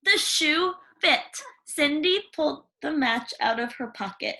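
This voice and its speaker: A woman reading a children's story aloud in an expressive, theatrical way with dramatic pauses. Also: high-pitched, rough recording. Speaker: storybook reader.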